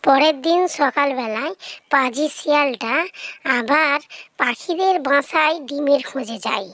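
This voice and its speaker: A narrator reading a scripted children's fairy tale for an animated cartoon. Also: high-pitched, unhurried, no echo, exaggerated voice.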